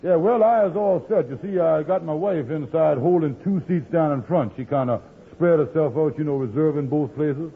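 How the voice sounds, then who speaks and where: An actor playing a blustering, folksy lodge leader with rambling, repetitive dialect speech in 1940s radio comedy style. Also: male voice, a little high, normal speed, hardly any pauses, breathy edge. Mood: flustered, chatty. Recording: muffled old radio recording.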